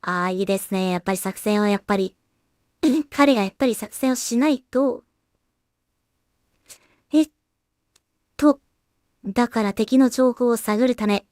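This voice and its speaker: A woman talking casually to herself and her viewers as a solo creator at work, musing in an unhurried way and letting remarks trail off. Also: on the high side, a little mellow, dry recording, clean studio sound. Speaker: solo animation creator and streamer. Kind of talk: casual work-along commentary, thinking aloud.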